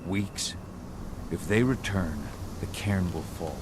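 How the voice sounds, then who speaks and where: A man playing a gruff, determined warrior in a video game, terse and defiant in his delivery. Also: sits low, takes it slowly, noisy background. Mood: defiant, urgent. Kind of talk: video game cutscene acting. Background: music.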